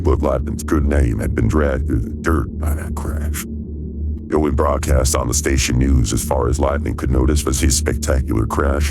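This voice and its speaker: A man with an extremely deep voice narrates a science fiction radio play from a script. Loud music runs underneath his reading. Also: vocal fry.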